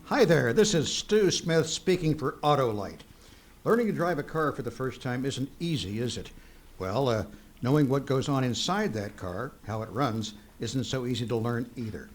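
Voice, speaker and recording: A man acting a friendly pitchman in a vintage radio commercial. His middle-range voice is folksy and conversational as he sells. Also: expressive, moderate pace, faint music behind, close to an everyday voice. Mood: folksy, upbeat. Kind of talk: scripted old-time radio advertisement.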